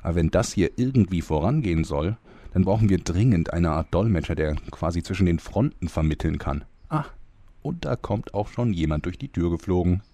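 An actor playing a wry narrator, giving dry scripted commentary in a comedic audio drama. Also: male voice, low-pitched, animated, brisk, warm tone. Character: wry storyteller commenting on the muddle.

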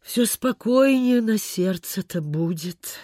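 A woman's voice: mid-range voice, lively intonation, takes it slowly, dark tone, no echo, clean studio sound. A woman playing a kindly, forgetful, pious landowner lady in a radio play, with a fussy, sighing way of exclaiming. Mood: anxious, caring. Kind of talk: Soviet-style radio theatre acting.

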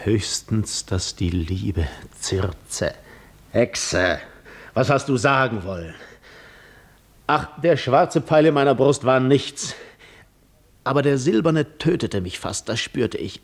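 Weary, defiant wanderer, medium pitch, intense and brusque, for a 1950s German radio drama. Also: male voice, expressive, slow. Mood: anguished. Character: Odysseus, weary, scarred middle-aged wanderer, brusque and defiant.

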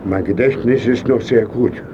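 An actor playing a proud, frail old painter in a radio drama, terse and suspicious. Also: male voice, mid-range voice, normal speed, highs cut off, background noise, close to an everyday voice. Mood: indignant. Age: older. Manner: imperious, challenging, terse.

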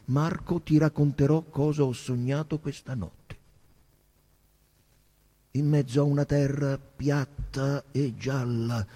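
A male actor as a melancholy emperor, husky and unhurried. He declaims his musings in an imperious, brooding way, as in a staged literary reading, leaving long pauses. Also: on the high side, mellow, big-room sound, voice pushed down. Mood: dreamy, brooding.

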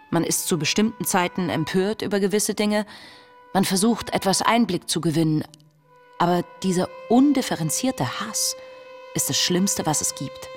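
An actress playing an introspective young woman who reads her diary in a radio play, earnest and confessional, with a breathy voice. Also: on the low side, natural voice. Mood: earnest, resolute. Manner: reflective, measured, earnest, confessional. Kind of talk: literary radio play monologue, intimate diary narration.

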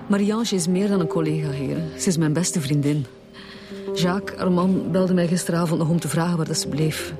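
A Flemish woman as a bank employee in a radio drama, played by an actress. She is polite and formal, uneasy and careful. Music plays clearly underneath. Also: low voice, brisk, lightly acted. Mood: anxious. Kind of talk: naturalistic radio drama dialogue. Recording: music behind the dialogue.

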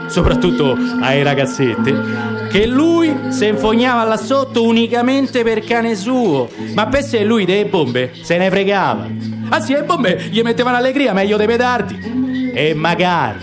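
High-voiced man narrating over music. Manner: expressive storytelling with lively shifts in pace. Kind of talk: dramatic literary reading for radio theatre.

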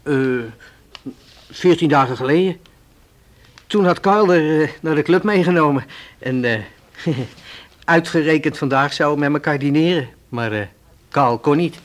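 An actor playing a nervous, defensive young nightclub entertainer in a radio play, with a hesitant, evasive way of talking. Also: male voice, fairly high pitch, moderate pace, pauses often, close to an everyday voice. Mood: uneasy. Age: young adult.

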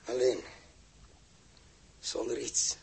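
An actor playing a desperate lone space castaway with a Netherlands Dutch accent, talking to himself haltingly in a 1960s radio drama. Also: male voice, dark tone. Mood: dazed, forlorn. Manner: halting, repeats himself, talks to himself.

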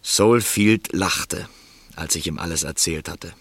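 A man narrating a radio play in first person, calm and reflective. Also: low-pitched, slow pace, gravelly, husky, no echo.

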